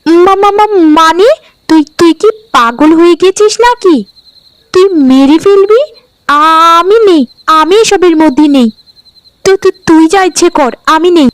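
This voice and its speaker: Woman playing a whiny, high-voiced young sister. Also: lively intonation, a little slow, poor audio. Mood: alarmed. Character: sleepy, grumbling, timid young sister. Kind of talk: Bengali fairy-tale cartoon dubbing. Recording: background music under the voice.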